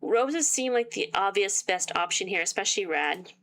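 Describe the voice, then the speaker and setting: Woman reading a game's story aloud between dry asides, voice wearing thin; mid pitch. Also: lively intonation, normal speed, fairly bright tone, a little hiss or hum. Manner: reads game text aloud and breaks off with sarcastic asides. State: losing her voice. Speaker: gaming YouTuber. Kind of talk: reading a visual novel aloud with commentary.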